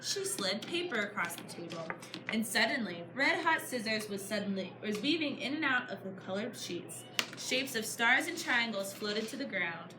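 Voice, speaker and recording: High-voiced woman reading aloud, echoey room. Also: very animated, moderate pace. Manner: encouraging, questioning teacher-like delivery. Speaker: author reading her book to a class. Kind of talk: storybook read-aloud with questions to children. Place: classroom. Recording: echoey room, clear background noise. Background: children answering, room noise.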